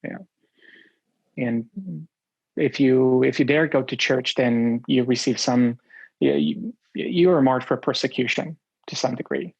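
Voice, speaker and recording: A man in his forties, originally from Romania, telling his life story in an interview, with many pauses and fillers. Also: mid-range voice, expressive, creaky, slightly rough, dry recording, dull audio. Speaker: guest.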